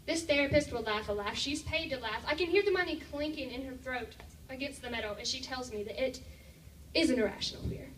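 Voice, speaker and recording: A teenage girl performs spoken-word poetry with a high voice and a deliberate, theatrical delivery. She is heard from some distance in a very echoey room, with faint noise underneath. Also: fairly bright tone. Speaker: slam poet.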